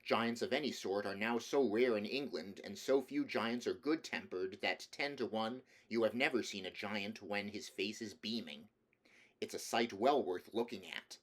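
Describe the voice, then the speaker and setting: A man's voice: lowish voice, moderate pace. A man reading a children's storybook aloud as scripted narration. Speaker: audiobook reader.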